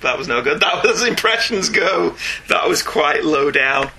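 A man's voice: on the high side, moderate pace, creaky, breathy edge. A man with a Northern English accent hosting a casual comics podcast, reading listener emails and joking in asides. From northern England. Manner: jokey, animated, digressing into asides. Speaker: podcast host.

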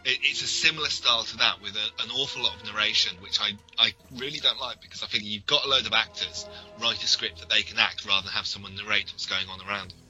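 A man co-hosting a podcast, giving detailed but casual explanations and opinions in a slightly halting way. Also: on the low side, sharp tone, soft background music, poor audio. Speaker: podcast co-host.

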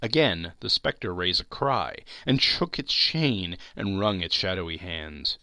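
A man narrates a classic tale in a theatrical, expressive audiobook style. His voice is bright and a little high, with lively swings of pitch. The sound is close and dry. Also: unhurried, dry recording. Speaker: podcast narrator.

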